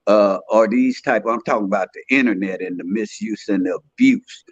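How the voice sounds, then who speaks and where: A man's voice: fairly low pitch, moderate pace, no room sound. A man in his sixties, a podcast guest speaking in an earnest, streetwise, plain-spoken way in casual conversation. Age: 60s. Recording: dry, dull lo-fi sound.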